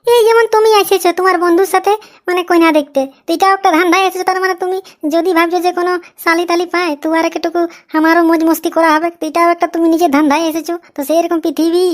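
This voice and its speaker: A woman voicing a cheeky, quick-witted young village bride-to-be in a cartoon, answering back smugly. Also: extremely high pitch, level tone. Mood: smug, teasing. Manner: cheeky, explanatory back-talk. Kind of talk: cartoon comedy dialogue.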